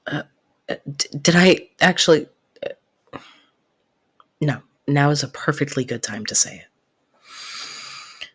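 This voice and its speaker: A woman playing a devoted, protective best friend in an intimate audio roleplay, soft-spoken and earnest, easily flustered. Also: low-pitched, animated, husky, heavily acted. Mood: flustered, confessing. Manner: earnest, reassuring, halting when flustered.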